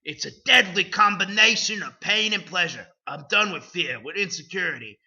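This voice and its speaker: A man reads aloud with an overwrought, theatrical delivery and throws in dry side remarks. His voice is gravelly and very bright, and it leaps around in pitch. It is captured on a dull, lo-fi recording. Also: animated, moderate pace. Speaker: dramatic reader. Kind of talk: over-the-top dramatic reading.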